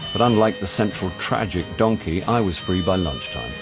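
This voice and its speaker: A man's voice: low voice, moderate pace, music in the background. An actor playing a wry middle-aged British man, a jaded dad and amateur sleuth, in a radio drama with first-person narration. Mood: wry narrating. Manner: dry, wry, sardonic.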